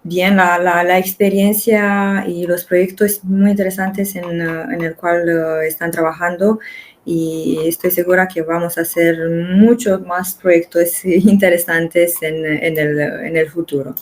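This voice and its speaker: A woman's voice: fairly low pitch, steady tone, measured pace. Woman speaking on a webinar panel. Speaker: company representative on a panel. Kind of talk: unscripted webinar remarks.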